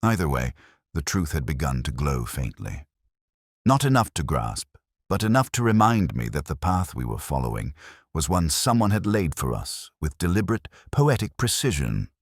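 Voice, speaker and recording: Very deep man narrating a detective story. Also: sing-song, dry recording, studio quality. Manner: measured, literary, brooding. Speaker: audio drama narrator. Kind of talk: scripted first-person detective story narration.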